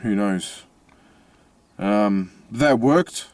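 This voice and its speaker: An Australian man with a slightly mixed accent from his Italian family, talking casually from inside his car with many pauses and restarts. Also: lowish voice, darkish tone, no echo. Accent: Australian with Italian-family influence. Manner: halting, many fillers and restarts. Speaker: vlogger. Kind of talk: casual personal vlog.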